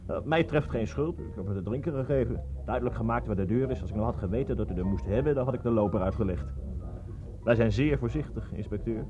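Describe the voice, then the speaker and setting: A man acts a brash barman in a shady nightspot, slangy and cocky with an oily, chuckling manner. His medium-pitched voice comes through a slightly dull radio play recording with music. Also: fast, natural voice. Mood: jovial, ingratiating. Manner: cocky, chuckling, fawning to police.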